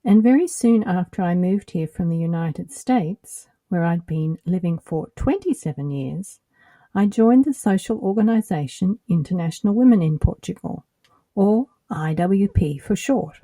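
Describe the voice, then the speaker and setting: Middle-aged woman, Australian accent, podcast host, low husky voice, dry close sound. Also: expressive, normal speed, mellow, no room sound. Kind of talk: scripted solo podcast narration.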